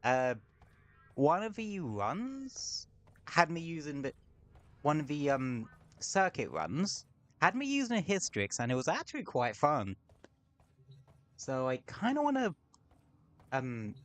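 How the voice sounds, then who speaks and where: Slow, swingy gamer guy. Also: mid-range voice. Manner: rambling, sweary, wry asides. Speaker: game streamer. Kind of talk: casual gameplay commentary. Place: home room with window open.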